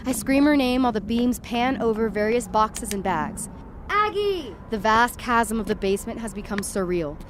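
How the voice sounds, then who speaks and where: A woman's voice: medium pitch, background noise, close to an everyday voice. A woman playing a frightened, tripping young woman who narrates a supernatural night in the first person, tense and dramatic, in an audio horror drama. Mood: panicked, then eerie unease.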